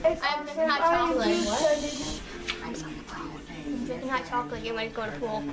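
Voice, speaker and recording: A young woman chatting offhand in a hotel room, with loud music behind her. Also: a little high, lively intonation, unhurried. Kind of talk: casual chat.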